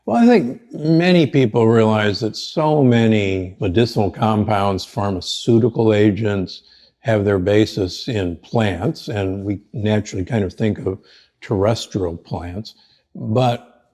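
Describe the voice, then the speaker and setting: An older man hosting a podcast, asking relaxed, friendly questions of his guest. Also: lowish voice, slow pace. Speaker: podcast host. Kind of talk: conversational interview hosting.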